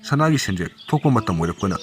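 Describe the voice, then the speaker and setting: A man's voice: fairly low pitch, sing-song, racing. A man voicing a humble but crafty village cobbler in a storybook tale, with a persuasive way of talking. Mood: pleading, sly. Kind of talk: storybook character acting. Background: music.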